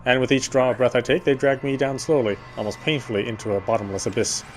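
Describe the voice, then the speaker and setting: A man reading scripted first-person narration from a horror visual novel in a measured, literary manner. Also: mid-range voice, fairly even intonation, soft background music. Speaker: player reading the narration aloud.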